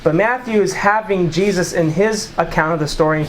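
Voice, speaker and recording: A man's voice: high-pitched, moderate pace, slight background noise, poor audio. A man leading an informal Bible study, reading scripture passages aloud and explaining them conversationally to a small group. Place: small room with a group of listeners.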